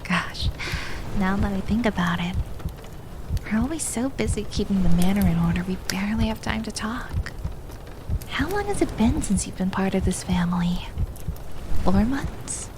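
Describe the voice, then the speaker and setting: A woman's voice: mid-range voice, lively intonation, background noise, lightly acted. Woman playing a whispering, teasing young maid. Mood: fond, nostalgic. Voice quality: soft, breathy whispering. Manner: slow, intimate, teasing. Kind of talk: whispered ASMR roleplay. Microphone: close binaural ear microphone.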